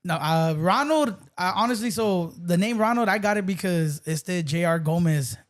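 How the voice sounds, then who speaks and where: A man's voice: sits high, lively intonation, normal speed. A man from South Texas chatting casually as a podcast guest, joking and laid-back, dropping Spanish words.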